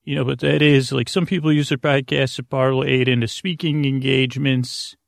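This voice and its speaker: Guy w a creaky gravelly voice rambling thru a bedtime monologue, halting and scatterbrained, super dry close sound. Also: mid-range voice, level tone, no echo. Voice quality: gravelly, rusty vocal tone. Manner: meandering, halting, self-interrupting, absent-minded. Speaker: podcast host.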